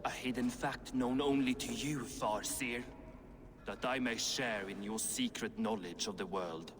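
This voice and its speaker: An actor playing a gruff, foul-mouthed and sardonic dwarf master blacksmith in a video game, blunt and mocking as he haggles. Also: medium pitch, unhurried, a bit bright, a little hiss or hum, lightly acted. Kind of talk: video game cutscene acting.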